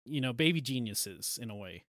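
A man co-hosting a podcast, chatting casually about a film in a halting way that often trails off. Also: medium pitch, animated, fairly bright tone, no room sound, studio quality. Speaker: podcast co-host.

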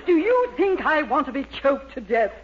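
An actress playing a prim, indignant middle-aged female neighbor, with huffy lines and wide swings in pitch. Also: very animated, normal speed. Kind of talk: 1940s radio comedy acting. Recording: dull old radio recording with hiss.

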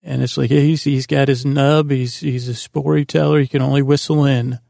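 Gravelly, creaky-voiced man rambling softly. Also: medium pitch, little pitch movement, no room sound, clean studio sound. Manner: meandering, halting, trails off mid-thought. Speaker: podcast host. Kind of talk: rambling bedtime sleep podcast monologue.

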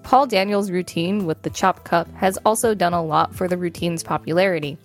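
A woman's voice: on the low side, moderate pace, soft background music. A woman with an American accent, co-hosting a podcast, reading an article aloud with casual remarks. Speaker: podcast co-host.

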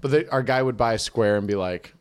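A man's voice: medium pitch, a little fast, clear, resonant tone. A man chatting casually and comically as a podcast co-host, telling anecdotes and acting out the quotes. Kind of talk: casual comedic chat.

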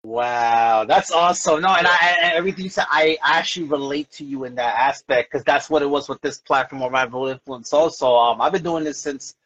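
A man's voice: mid-range voice, brisk, hardly any pauses, fairly bright tone. Slightly muffled man hosting a casual interview. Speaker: show host and community platform founder. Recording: slightly dull lo-fi audio.

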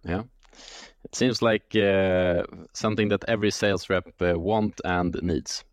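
A man's voice: deep voice, steady tone, unhurried. A man hosting a podcast, reading a scripted intro, then asking questions hesitantly with many filler sounds. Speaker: podcast host.

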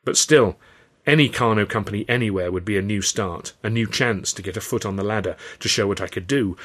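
A man's voice: lowish voice, fairly bright tone. A man with an English accent narrating a first-person story from a script in a wry, literary style. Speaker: author and audiobook narrator.

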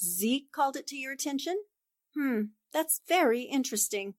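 A woman's voice: sits high, lively intonation, normal speed. An actress playing a shrewd, composed newspaperwoman in 1940s radio drama style, probing and decisive. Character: inquisitive, self-assured female newspaper reporter, shrewd and composed.

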